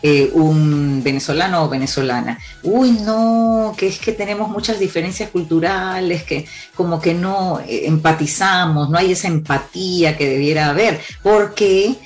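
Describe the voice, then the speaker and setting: A woman speaks in a low, deep register. She gives relationship advice with emphatic, sweeping declarations, telling lively stories to make her point over faint background music. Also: moderate pace, few pauses. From born in Cuba, raised in Peru. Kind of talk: confident advice-giving interview talk.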